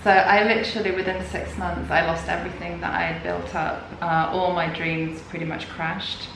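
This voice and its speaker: A woman's voice: fairly low pitch, little pitch movement, moderate pace, gravelly. A middle-aged woman, a guest in a casual interview, telling her life story in an open and reflective way. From Denmark. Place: indoors at a yoga retreat. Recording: echoey room with background noise.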